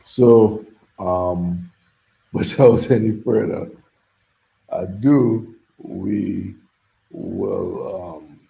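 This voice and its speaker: Host role for a man: gravelly, dark-toned voice, slow and drawn out, heard in an echoey room. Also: deep voice, very animated. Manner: drawn-out, filler-heavy delivery. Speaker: event host and interviewer. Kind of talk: event introduction and interview.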